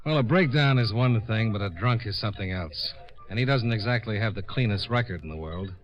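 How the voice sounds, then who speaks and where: An American actor playing a sardonic, hard-boiled insurance investigator in 1950s radio noir style, clipped, dry and cynical. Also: male voice, on the low side, animated, a little fast, faint music behind, lightly acted. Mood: skeptical, cool. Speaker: lead actor and narrator in a radio detective drama. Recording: muffled vintage radio recording.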